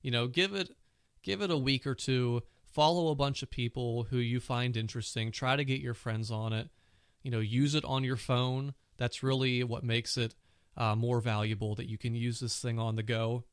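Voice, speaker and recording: A man's voice: medium pitch, steady tone, moderate pace, clean tone, no room sound, clean studio sound. A man hosting his own casual tech podcast, chatty and full of fillers, with a cold and a sore throat. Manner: chatty, many "you know" fillers. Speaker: podcast host.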